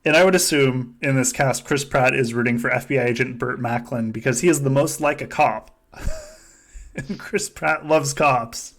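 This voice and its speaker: A man's voice: medium pitch, moderate pace, fairly bright tone, slight background noise. Joking, sweary man hosting a podcast. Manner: joking, sweary, laughs often. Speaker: podcast host. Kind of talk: casual chat running a simulated reality-show game.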